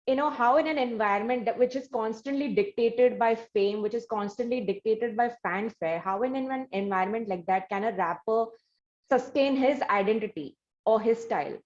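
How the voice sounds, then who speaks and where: A woman with an Indian accent hosting an interview in a conversational way, building her questions in measured stages. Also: a little high, a little mellow, highs cut off. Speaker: interview host.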